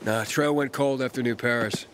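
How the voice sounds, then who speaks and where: An actor playing a wry, easygoing young pilgrim in a video game, speaking casually and laconically. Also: male voice, mid-range voice, fairly even intonation, moderate pace, noisy background, natural voice. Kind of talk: video game dialogue acting.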